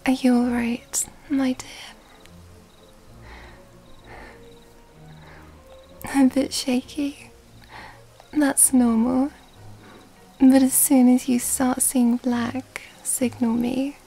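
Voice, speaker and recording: A woman acts out a mysterious vampire seductress in an intimate ASMR roleplay. Her high voice drops into soft, breathy whispers and stays very slow and fairly level in melody. She is teasing and quietly predatory. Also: little pitch movement, soft background music, full character voice. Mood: tender, satisfied.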